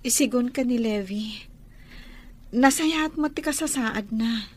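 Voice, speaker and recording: Slow, high-voiced worried young wife acted by a woman. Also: soft background music. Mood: deferential, anxious. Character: worried, grateful pregnant wife and niece. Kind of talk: Ilocano radio drama acting. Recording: noticeable background ambient noise.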